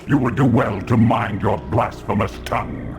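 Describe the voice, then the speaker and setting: An actor playing an ancient, bitter and devout male vampire lord, speaking in a slow, hissing, scornful growl for a video game. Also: over music. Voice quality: deep gravelly growl.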